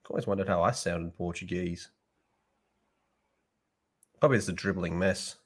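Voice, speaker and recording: A man's voice: low voice, moderate pace, breathy edge. A man co-hosting a podcast in casual chat, jokey and blunt with his opinions. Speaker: podcast co-host. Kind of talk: casual chat reacting to anime dubs.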